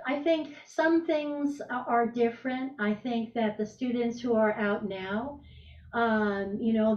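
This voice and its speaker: Older woman on a video call from her kitchen, higher voice, roomy and dull sound, hesitant with lots of fillers. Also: darkish tone, slight background noise. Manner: thoughtful, hesitant, many fillers. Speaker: program leader and cooking host. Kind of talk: casual video call chat. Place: home kitchen. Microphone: video call laptop mic.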